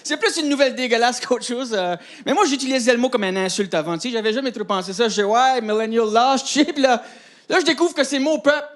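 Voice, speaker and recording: A man's voice: extremely high pitch, fast talker. A man in his thirties with an Acadian French accent, performing a stand-up routine in a chatty, self-mocking way and often laughing at his own lines. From New Brunswick, Canada. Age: 30s. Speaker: stand-up comedian. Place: comedy stage before a live audience. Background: faint audience laughter.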